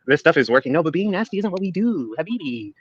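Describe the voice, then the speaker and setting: Loud, slangy man on a lo-fi line. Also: on the high side, big pitch swings, quick pace. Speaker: guest on an online panel. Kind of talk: casual streetwise banter. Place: public place with people around.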